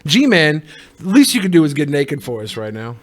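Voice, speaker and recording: Higher-voiced man riffing mock-seriously. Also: very animated, moderate pace. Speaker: podcast host or panelist. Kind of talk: casual joking panel chat.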